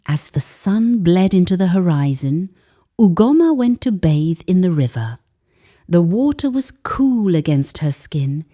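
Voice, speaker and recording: Slow, mellow woman telling a dark folktale. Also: lowish voice. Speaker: storyteller. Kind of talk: dramatic scripted folktale narration.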